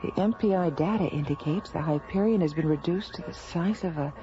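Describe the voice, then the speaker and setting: An actress playing a precise, businesslike space scientist in a radio drama. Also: female voice, lowish voice, a little fast, close to an everyday voice. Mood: serious, concerned. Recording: dull vintage radio recording.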